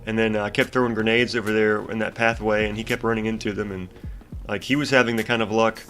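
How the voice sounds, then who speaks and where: A young man with a stuffy, congested voice, pitched fairly low, rambling in a casual, frustrated rant full of ums and restarts. Faint music plays softly underneath him. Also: flat delivery, fast. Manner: rambling, frustrated ranting with frequent fillers and restarts. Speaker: gaming YouTuber commentating gameplay. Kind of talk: casual gameplay commentary.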